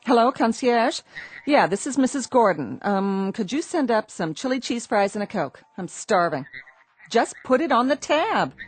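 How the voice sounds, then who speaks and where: A woman's voice: medium pitch, natural voice. Woman playing a bratty, blasé young trophy wife. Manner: casual, sassy. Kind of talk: radio drama acting.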